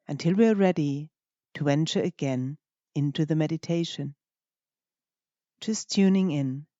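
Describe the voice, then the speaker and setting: A meditation teacher gently guiding a practice in short, unhurried phrases with pauses between them. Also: mid-range voice, no echo, lo-fi sound. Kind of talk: guided meditation narration.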